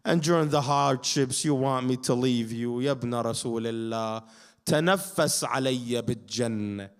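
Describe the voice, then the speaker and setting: A man who was born in Iraq and grew up in Canada, delivering a sermon at a Muharram gathering. He recites Arabic verses and translates them, and moves from quiet reflection to loud, emphatic declaration. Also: medium pitch, a little slow, clear, resonant tone.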